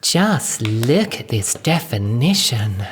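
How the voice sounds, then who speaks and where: A man voicing a vain, flirtatious and imperious aristocratic villainess in an audio story, with breathy, theatrical, condescending delivery. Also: medium pitch, big pitch swings, takes it slowly, a little hiss or hum. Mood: vain, scheming.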